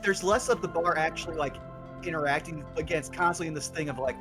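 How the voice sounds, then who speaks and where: A man's voice: on the high side, quick pace, music in the background. A man chatting casually as a co-player, rambling with lots of fillers. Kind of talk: casual chat.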